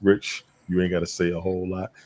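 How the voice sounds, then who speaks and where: A man's voice: low voice, completely flat delivery, fairly quick, darkish tone. A man co-hosting a casual fantasy football chat, laid-back and joking. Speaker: co-host of fantasy football show.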